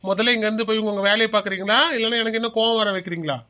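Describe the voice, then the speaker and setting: A man's voice: high-pitched, steady tone, racing. A man voicing a stern father who scolds his family in a cartoon. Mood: angry. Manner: shouting and scolding.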